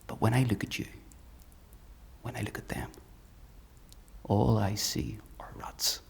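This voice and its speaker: An actor playing a menacing, fanatical hunter in a dark fantasy audio drama, speaking in a deliberate, taunting, theatrical way. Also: male voice, lowish voice, creaky, slightly rough, exaggerated voice. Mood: cold menace. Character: menacing male fanatical hunter, coldly articulate, mocking.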